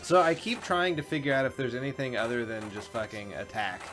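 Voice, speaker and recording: A man's voice: mid-range voice, fairly quick, a bit bright. Casual man commentating over game music. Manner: informal, profane. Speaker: video game show co-host and player.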